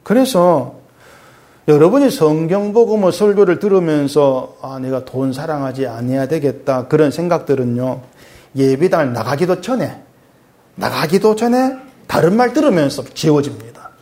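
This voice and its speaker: A middle-aged male pastor preaching conversationally, asking his congregation questions and dropping low and soft when he reads scripture. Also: lively intonation, normal speed. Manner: swings between raised emphatic lines and quiet low asides; reads scripture aloud in a lower, softer voice. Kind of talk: sermon.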